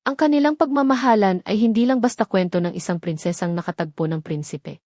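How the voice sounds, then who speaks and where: A woman's voice: medium pitch, a little fast, a little mellow, dry recording, studio quality. A woman narrator reading a scripted dramatic story.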